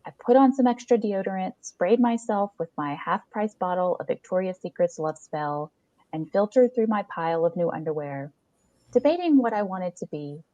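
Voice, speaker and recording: A woman's voice: deep voice, darkish tone, no echo. A woman reading a first-person short story aloud as scripted narration, in a dull lo-fi recording.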